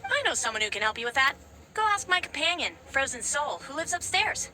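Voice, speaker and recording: A woman playing a helpful, perky video game character with a quick, forthcoming delivery. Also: high-pitched, very animated, bright, forceful tone, full character voice.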